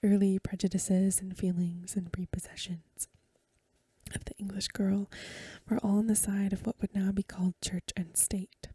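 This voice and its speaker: Breathy whispering woman reading softly. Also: mid-range voice, little pitch movement, normal speed, dry recording. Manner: gentle, hushed, careful reading. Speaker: ASMR host. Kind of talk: soft-spoken ASMR story reading. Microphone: close microphone.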